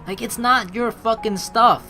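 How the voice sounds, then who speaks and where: A young man hosting a game playthrough, reading dialogue aloud and adding casual, slangy, expressive commentary. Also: extremely high pitch, measured pace. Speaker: let's play host. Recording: game music behind the voice.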